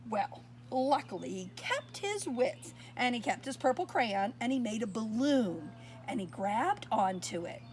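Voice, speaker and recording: A woman with a high, bright voice reads a children's picture book aloud. Her pitch swoops up and down with expression, and she stops now and then to ask her young listeners what comes next. A faint noise sits under the recording. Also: measured pace. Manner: expressive, asks listeners questions. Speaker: storyteller.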